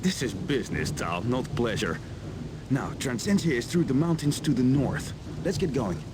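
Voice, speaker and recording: An actor playing a seasoned middle-aged adventurer in a video game, speaking in a measured, reflective and theatrical way. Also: male voice, mid-range voice, expressive, background noise, close to an everyday voice. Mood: brisk, stern. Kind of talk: video game cutscene acting. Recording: game audio with prominent music behind.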